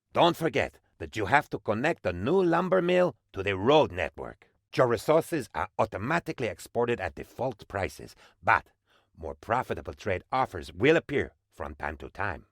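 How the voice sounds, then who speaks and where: A man's voice: medium pitch, a bit bright, dry recording, clean studio sound. A man playing a fawning, cheerful advisor to a tropical dictator, speaking as an eager, theatrical guide in a video game tutorial.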